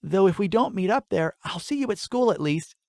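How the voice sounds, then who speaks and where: A man voicing a shy, modest young schoolgirl with a quiet, gentle, polite manner in a fantasy audiobook. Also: high voice, animated, fairly quick, creaky, no echo, studio quality, voice pushed up.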